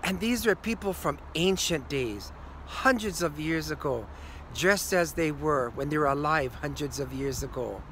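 An older man with a Hawaiian accent telling a ghost story to the camera, slowing down and going quiet at the key moments. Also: fairly high pitch, lively intonation, slight background noise. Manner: slow, hushed at key moments. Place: green screen studio. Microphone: facing camera at close range.

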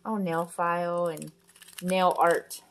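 A woman's voice: on the low side, fairly even intonation, slow pace, a bit bright. A woman chatting while unboxing in a storage unit, often exclaiming in surprise. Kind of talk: casual chat while unboxing.

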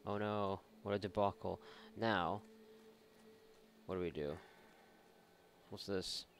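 Male let's-player, lowish gravelly voice, sarcastic, mock-exasperated, sweary casual commentary. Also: fairly even intonation, slow. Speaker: video game let's-player. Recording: faint game noise behind voice.

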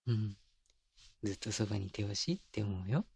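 A man voicing a doting young boyfriend in an intimate ASMR roleplay, murmuring softly and breathily with teasing, coaxing baby talk close to a binaural microphone. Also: lowish voice, animated, fairly quick, gravelly, slightly rough, no room sound, full character voice. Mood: playful, affectionate. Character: doting young boyfriend soothing his sleepy partner. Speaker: voice actor. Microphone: close binaural microphone, dry.

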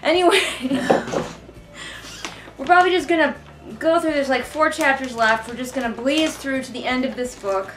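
A woman's voice: sits high, moderate pace, noisy background. A young woman giving casual, sarcastic commentary as she reads along with a book, in an exasperated, informal way. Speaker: book reviewer reading along. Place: living room couch, indoors. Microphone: camera a short distance away.

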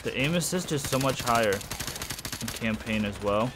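A man's voice: medium pitch, animated, unhurried, background noise. A man giving casual, slangy commentary as he plays a video game, grumbling in exasperation. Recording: game gunfire behind the voice. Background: shooter game gunfire and military chatter.

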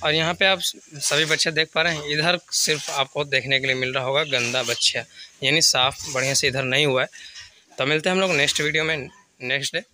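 A man's voice: a little high, fairly even intonation, fairly quick, pushed and bright, a little hiss or hum. A man with a Bihari Hindi accent hosting his own channel, walking through his cattle shed and explaining things in a casual, conversational way, mixing in English words. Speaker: dairy farmer and channel host. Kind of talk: casual walkthrough vlog. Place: open-sided cattle shed outdoors.

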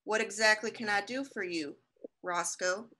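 A woman's voice: mid-range voice, moderate pace, pushed and bright, close to an everyday voice. A woman playing a firm, exasperated frontier storekeeper in a radio drama, on a noisy home microphone.

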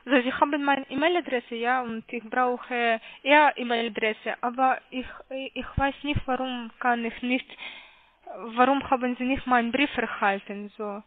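A woman with a foreign accent making a halting sales phone call, hesitant and careful as she spells things out. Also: on the high side, steady tone, no echo. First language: not German. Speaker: call centre caller. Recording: muffled, narrow phone line.

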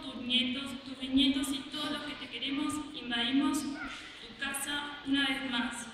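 Young woman, Argentine Spanish, high voice, steady heartfelt eulogy; long church reverb, distant mic. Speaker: granddaughter giving a eulogy. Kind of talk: reading a prepared farewell speech. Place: large church, indoors. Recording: echoey church from far off, with background noise.

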